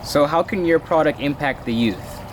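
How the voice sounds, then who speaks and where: A man's voice: fairly high pitch, normal speed. A male interviewer asking short, direct questions. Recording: noisy background.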